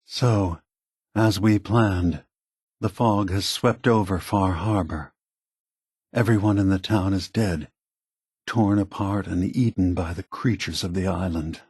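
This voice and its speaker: An actor playing a calm, grave synthetic man, a weary scheming leader, with a husky, measured, deliberate delivery for a video game. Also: low-pitched, dry recording, studio quality, full character voice. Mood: somber.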